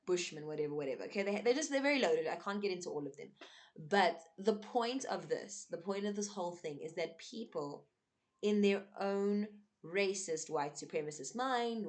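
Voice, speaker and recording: A young woman from South Africa talks straight to camera with earnest emphasis, her voice sitting a little low. English is not her first language, and she keeps checking in with her listeners between points. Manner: earnest, emphatic, with frequent "um" and "right?". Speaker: vlogger. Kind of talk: unscripted commentary to camera.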